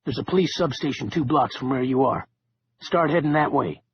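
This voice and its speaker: A male narrator playing a gruff, fiercely loyal friend. He talks bluntly, with slang and clipped phrases. The sound is muffled and lo-fi, with faint hiss. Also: medium pitch, brisk, natural voice.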